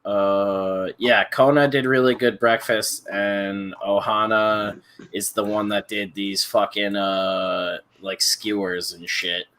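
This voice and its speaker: A man's voice: lowish voice, little pitch movement, takes it slowly, fairly bright tone. A young man co-hosting a casual chat, rambling with many fillers and some swearing. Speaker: podcast co-host.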